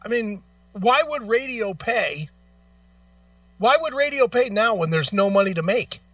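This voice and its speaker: Man, high pitch, blunt, emphatic, sweary, casual radio talk, dry lo-fi sound, a bit distant from mic. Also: animated, measured pace, a bit bright, dry recording. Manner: blunt, profane, exasperated, emphatic. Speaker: talk show host.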